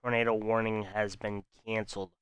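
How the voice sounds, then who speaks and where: A man with a calm, rambling way of talking, hosting an unscripted live weather stream and speaking to his viewers. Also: on the low side, moderate pace, clean tone, no echo, poor audio. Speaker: livestream weather host.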